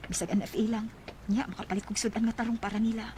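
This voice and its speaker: A woman's voice: a little high, level tone, fast, mellow, slight background noise, lightly acted. A woman playing a poor, overburdened mother fed up with her irresponsible husband, acting in a Filipino radio drama with a Cebuano accent. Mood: weary, hopeful.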